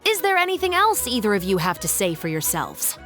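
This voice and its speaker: A woman's voice: mid-range voice, wildly swooping pitch, a little fast, a bit bright, soft background music. Prim lady cop in a game, dry and chiding, voice goes up and down a lot. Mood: stern, formal. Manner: formal, scolding, wry. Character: prim, officious female patrol officer, dryly reproving. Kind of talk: video game character acting.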